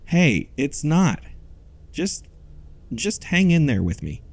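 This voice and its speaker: A man's voice: fairly high pitch, very animated, slow, full character voice. A man playing an earnest, obsessed amateur investigator recording himself on location in an audio drama. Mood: urgent, bewildered. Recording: noisy on-location podcast field recording.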